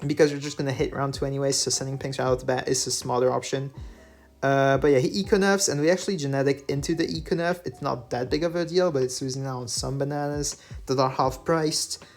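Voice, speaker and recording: A man whose first language is French, talking through his game replays in a relaxed voice-over full of fillers and self-corrections. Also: mid-range voice, quick pace. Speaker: gaming channel host. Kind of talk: gameplay commentary voice-over. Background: faint background music.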